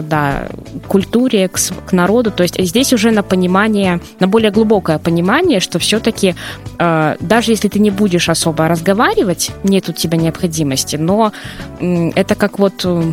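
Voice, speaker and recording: A woman psychologist, giving earnest advice in casual conversation, often pausing with hesitations. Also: medium pitch. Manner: earnest, advising, with frequent hesitations. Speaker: psychologist guest. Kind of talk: casual talk show conversation.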